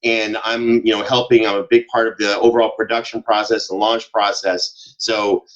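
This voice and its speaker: A man's voice: fairly low pitch, level tone, moderate pace. American man in dull lo-fi audio. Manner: joking, dryly sarcastic. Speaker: podcast guest, audio drama producer. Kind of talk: casual conversational interview.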